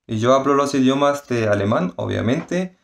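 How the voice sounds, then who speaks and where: A man's voice: medium pitch, animated, normal speed, vocal fry. A man and university student speaking Spanish in a careful, articulate way. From Germany. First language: German.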